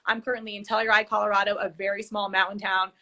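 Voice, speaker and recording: Bright-voiced woman in her thirties. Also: medium pitch, level tone, quick pace. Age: 30s. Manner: chatty, enthusiastic, many fillers. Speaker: fitness and nutrition coach, co-host. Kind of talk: casual podcast conversation.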